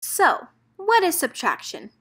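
A woman's voice: very high voice, huge pitch swings, takes it slowly, a bit bright. A woman narrator reading a children's picture book aloud, expressively and in a rhythmic lilt of rhyming verse.

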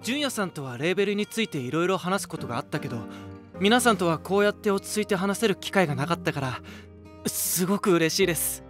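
A man's voice: high-pitched, animated, fast, a bit bright, faint music behind. A male actor playing a sincere, eager young rock singer in an anime voice drama, speaking politely.

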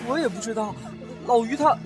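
A man voicing a lively, talkative young treasure hunter in an amateur audio drama, teasing and chatty, flustered when scared. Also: very high voice, a little fast. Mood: nervous. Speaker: lead character in an audio drama. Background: music.

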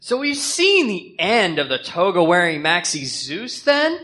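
A man's voice: high voice, wildly swooping pitch, a little slow, sharp tone, exaggerated voice. A man voicing a polite but pointed female journalist in a comedic radio drama. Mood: polite, probing.

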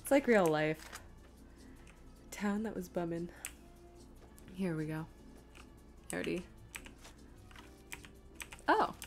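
Low-pitched woman chatting through a game stream. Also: expressive, extremely slow, pauses often, soft background music. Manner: chatty, thinking aloud to herself and viewers. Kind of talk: casual live gameplay commentary.

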